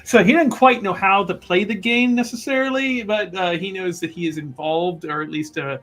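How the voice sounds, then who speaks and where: A man's voice: high voice, moderate pace, a bit bright. A man playing a tabletop roleplaying game, casually improvising a description of his character in a rambling, hesitant way.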